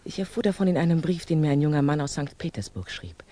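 An actress playing a composed, candid older female revolutionary in a 1960s German radio drama, measured, admonishing and probing. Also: deep voice, animated, warm tone. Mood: earnest, certain.

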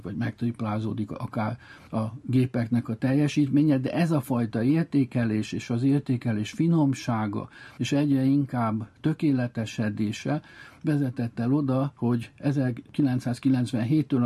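A man with a slightly husky voice, explaining and telling history as an expert guest. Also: mid-range voice, mellow. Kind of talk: explanatory storytelling about history.

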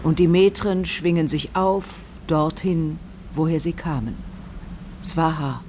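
An actor playing a grave teacher of ancient ritual lore in a radio drama, speaking in a measured way. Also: medium pitch, steady tone, noisy background, lightly acted.

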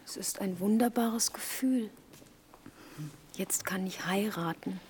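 An actress playing a naive, blunt young survivor in a German radio play, speaking in a hushed, plain, questioning way. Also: female voice, medium pitch, slow pace, a little hiss or hum, lightly acted. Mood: naive, earnest. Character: Marischka, naive, blunt young girl, later remembering survivor.

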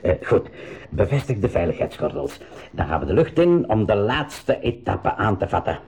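An actor playing a resolute, principled older professor leading an adventure in a school radio drama. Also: male voice, on the low side, sing-song, normal speed, a little mellow, slightly rough, full character voice. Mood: urgent, commanding.

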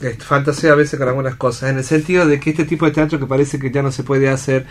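A man's voice: medium pitch, steady tone, fast talker. An Argentine man in a conversational radio discussion, speaking reflectively and feeling his way through his phrases. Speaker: radio panelist discussing theatre.

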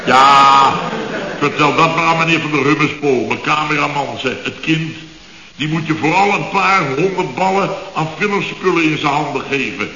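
Actor as blustering, sarcastic building boss, boomy old radio. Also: male voice, normal speed, echoey room, long reverb tail, dull audio, background noise, close to an everyday voice. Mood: exasperated. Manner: blustering, sarcastic, clipped half-sentences, repeats himself. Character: grumbling, exasperated male building contractor, long-winded storyteller. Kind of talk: 1970s radio comedy acting.

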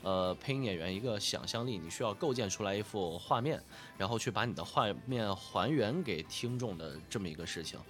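A man's voice: on the low side, lively intonation, fairly quick, hardly any pauses, fairly bright tone, soft background music. A man with a nasal, stuffed-up voice, talking casually as a guest voice actor, modest and thinking aloud as he explains his work. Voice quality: nasal from a cold. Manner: modest, self-deprecating, thinks aloud with repetitions. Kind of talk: casual interview answers, explaining dubbing work.

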